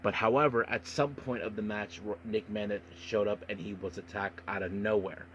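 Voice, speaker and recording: A man hosting a casual solo wrestling podcast, giving a news and review rundown in a halting way and stumbling over words. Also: on the low side, steady tone, a bit bright, a little hiss or hum, poor audio.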